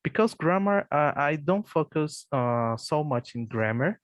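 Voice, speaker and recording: A Brazilian man, a native Portuguese speaker, speaks English with a Portuguese accent in a casual practice conversation. He talks slowly and hesitantly, full of fillers and restarts. The sound is dry and close. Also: a little high, animated, no room sound. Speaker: English learner.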